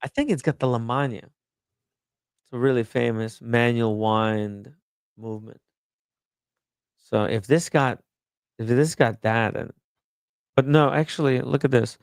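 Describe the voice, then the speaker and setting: A male host talks on a livestream in a slow, unhurried way. His voice is gravelly yet smooth and resonant. The sound is close and dry. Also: medium pitch, no echo. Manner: relaxed, chatty, laughs easily. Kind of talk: casual livestream chat.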